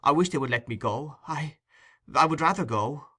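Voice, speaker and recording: A man's voice: a little high, expressive, normal speed, sharp tone, slightly rough, voice pushed up, full character voice. A man voicing a timid, innocent young orphan boy in an audiobook, hesitant and polite. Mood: timid, pleading.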